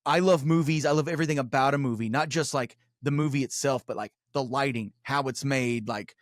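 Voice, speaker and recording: A man's voice: medium pitch, dry recording, studio quality. A man chatting casually as a podcast guest, rambling and often doubling back on his words. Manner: rambling, halting with repeated words, swears casually. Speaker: podcast guest and co-host of his own show. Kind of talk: casual conversation.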